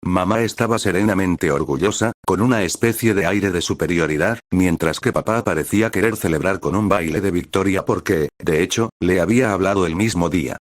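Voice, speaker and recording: A man narrates a fan fiction story in a low, deep voice. His reading is even and measured, like a storybook told aloud. The sound is dry and close, with no room around it. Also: no echo.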